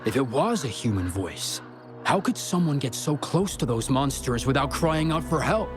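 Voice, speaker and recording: An actor playing a helpful mine patrol guard in a video game, measured and official, with music behind him. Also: male voice, medium pitch, big pitch swings, lightly acted. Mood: pondering. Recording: music under the voice.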